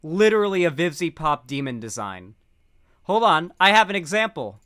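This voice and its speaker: A man's voice: a little high, very animated, moderate pace, fairly bright tone, clean tone, no echo, studio quality. A man giving casual political commentary while stoned, rambling with lots of fillers and restarts.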